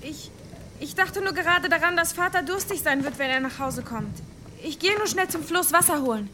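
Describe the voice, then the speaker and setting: Very high, bright young daughter. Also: female voice, normal speed, a little hiss or hum. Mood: distracted, evasive. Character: distracted, evasive young village daughter. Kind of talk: German audio drama acting.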